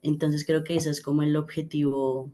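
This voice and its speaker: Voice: medium pitch, little pitch movement, normal speed, warm tone. A student answering a teacher's question about a research project, with frequent fillers and restarts. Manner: hesitant, many fillers.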